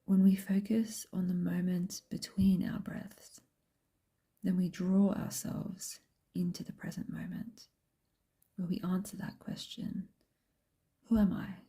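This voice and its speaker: A woman guiding a meditation in a soft, breathy whisper, speaking gently and unhurriedly with long pauses. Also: on the low side, level tone. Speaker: meditation guide and host. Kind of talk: slow guided meditation, soft whispered speech.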